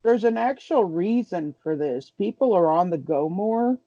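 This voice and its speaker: A man's voice: sits high, animated, a little slow, mellow, dry recording. A man explaining casually as a podcast co-host, on a dull lo-fi recording. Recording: dull lo-fi sound.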